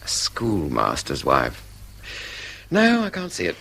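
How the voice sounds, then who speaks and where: A man's voice: low-pitched, wildly swooping pitch, takes it slowly, vocal fry, bright, forceful tone, a little hiss or hum, heavily acted. A man with an English accent playing a courteous, jaded, inquisitive traveller in a radio drama, musing and politely probing. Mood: curious, admiring. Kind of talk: British radio drama acting.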